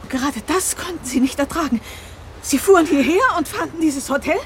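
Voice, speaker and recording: Casting: shrewd, agitated female amateur sleuth, high urgent voice, radio drama with light background noise. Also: brisk. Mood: dawning realisation, accusing. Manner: urgent, emphatic, dramatic delivery. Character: crime novelist woman, shrewd, accusing, agitated amateur sleuth. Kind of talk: German radio drama acting.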